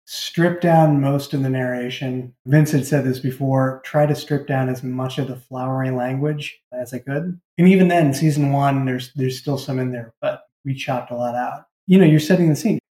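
A man's voice: medium pitch, normal speed, few pauses, mellow. A man chatting casually and reflectively as a writer being interviewed. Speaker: audio drama creator and writer, interview guest. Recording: echoey room.

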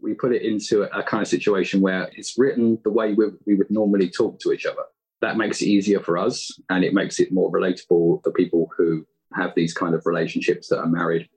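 A man's voice: low voice, level tone, fairly quick, a little mellow, husky, rough recording. A man with a British accent chatting casually as an interview guest. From United Kingdom. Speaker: podcast writer and guest.

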